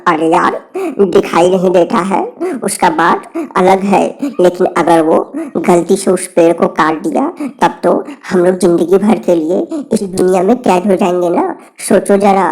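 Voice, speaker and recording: A woman voicing a frightened, grumbling dwarf in a Hindi cartoon, with halting, stammering speech. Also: medium pitch, darkish tone, lightly acted, low-quality recording. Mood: anxious disbelief. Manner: rustic, pleading, repeats phrases.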